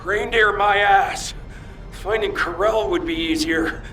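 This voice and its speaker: An actor playing an easygoing, joking soldier in a video game, talking in a casual, wry way. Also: male voice, sits high, takes it slowly, sharp tone, recorded from a distance, faint music behind, exaggerated voice, poor audio.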